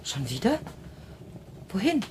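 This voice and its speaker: A woman's voice: mid-range voice, wildly swooping pitch, takes it slowly, mellow, slight background noise. An actress playing a worried, reproachful middle-aged mother in a German radio play. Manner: questioning, reproachful.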